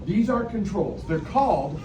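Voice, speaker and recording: A man's voice: sits high, animated, measured pace. A man working as a puppeteer, talking playfully and teasingly to children at a live show and asking them questions. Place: echoey room with a live audience. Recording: echoey, noisy live room from a distance.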